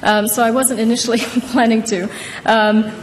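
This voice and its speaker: A woman's voice: on the high side, little pitch movement, moderate pace. A woman in her thirties from Indonesia giving an informal academic talk as a law professor, conversational and often checking in with "right?". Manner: conversational, frequently tags points with "right?". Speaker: law professor, author presenting her book. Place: large wood-panelled courtroom-style hall. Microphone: podium microphone. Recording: reverberant wood-panelled hall, some noise.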